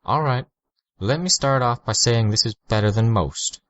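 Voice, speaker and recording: A formal middle-aged caseworker woman voiced by a man reading aloud, polite and measured in every phrase. She sits well above his natural low voice. The sound is dry and slightly muffled. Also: no room sound, lightly acted.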